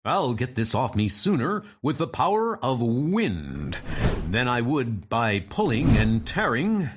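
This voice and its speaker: Man playing a noble, slow-spoken thunder god hero. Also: medium pitch, sing-song, husky, voice pushed down, exaggerated voice. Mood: commanding. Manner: declamatory, formal, heroic. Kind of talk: comic book dub.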